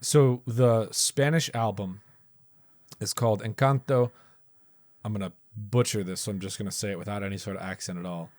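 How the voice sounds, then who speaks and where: A man's voice: on the low side, steady tone, normal speed, darkish tone, no room sound, clean studio sound. A man co-hosting a casual movie review podcast, curious and teasing in conversation. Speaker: podcast co-host. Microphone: podcast mic.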